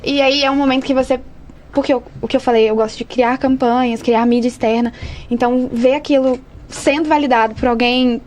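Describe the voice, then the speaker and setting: Young Brazilian woman, distinctly high voice, informal podcast guest, faint ambient noise behind her. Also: steady tone, brisk, a little mellow. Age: young adult. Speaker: advertising student, podcast guest. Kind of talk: casual conversational storytelling.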